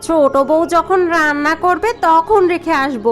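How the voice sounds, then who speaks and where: A conniving young daughter-in-law, voiced by a woman in an animated family drama. Her voice is high and confident, and she talks as if she already has a plan worked out. Faint music sits behind her. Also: a little slow. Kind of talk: cartoon voice acting.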